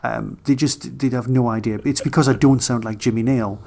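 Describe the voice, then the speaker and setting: A man's voice: mid-range voice, fairly even intonation, moderate pace, gravelly, a little mellow. A man from Newcastle upon Tyne with a Geordie accent, co-hosting a podcast in casual, self-deprecating chat. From Newcastle upon Tyne, England. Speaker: podcast co-host.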